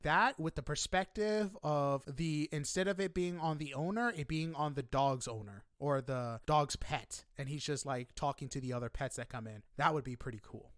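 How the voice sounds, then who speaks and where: A man hosting a podcast, chatting casually with a guest in an animated, emphatic and informal way. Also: on the high side, moderate pace, few pauses, dry recording, clean studio sound. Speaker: podcast host interviewing guests. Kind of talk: casual interview chat.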